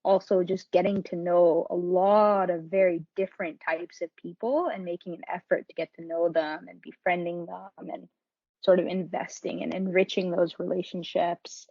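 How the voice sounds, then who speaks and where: A woman's voice: on the low side, fairly even intonation, moderate pace, no echo, dull audio. A woman giving reflective, conversational answers as a podcast guest, often adding "you know". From born in Pakistan, grew up in several countries. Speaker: podcast guest, marketing professional.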